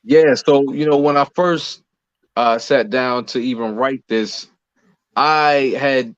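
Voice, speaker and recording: American man, measured, slightly dull dry recording. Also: medium pitch, no echo. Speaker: playwright, director and actor interviewed as guest. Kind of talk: conversational interview answers.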